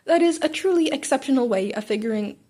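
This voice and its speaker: A woman's voice: sits high, lively intonation, fast, dark tone. A woman voicing an earnest, polite teenage schoolboy in a story, speaking formally. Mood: impressed.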